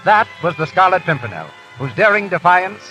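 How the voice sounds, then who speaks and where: A man's voice: high voice, sing-song, fairly quick, pushed and bright, rough recording. An American man announcing in formal, polished 1930s radio style. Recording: old radio broadcast with music. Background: music.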